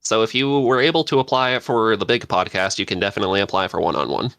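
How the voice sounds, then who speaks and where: Bright-voiced man with a low pitch. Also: fast, clean tone, no echo. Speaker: podcast panelist. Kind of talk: casual panel chat.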